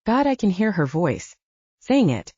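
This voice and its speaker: A candid, self-mocking young female werewolf soldier, acted out by a woman audiobook reader. Her chatty delivery swings widely in pitch, with a mellow tone on a slightly muffled, dry recording. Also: very animated, measured pace, husky, no echo, heavily acted. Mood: candid, wry. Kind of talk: audiobook character dialogue. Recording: dull, lo-fi sound.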